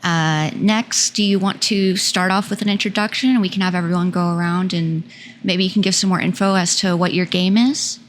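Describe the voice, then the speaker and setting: A woman's voice: fairly low pitch, steady tone, moderate pace, slight background noise. A woman hosting an online interview and asking friendly, curious questions. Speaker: podcast host.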